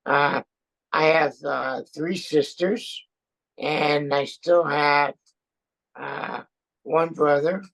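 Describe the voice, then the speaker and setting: A man, a veteran speaking hesitantly as an interview guest, with many pauses and fillers. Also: a little high, fairly even intonation, gravelly, fairly bright tone. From born in Austria, raised in Brooklyn and France. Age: 70s. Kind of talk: casual chat.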